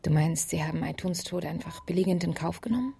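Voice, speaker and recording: A woman's voice: lowish voice, fast talker, gravelly, mellow, slight background noise. An actress playing a guarded, dry-witted woman investigator in a naturalistic radio crime drama, murmuring laconically. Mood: sober, sardonic.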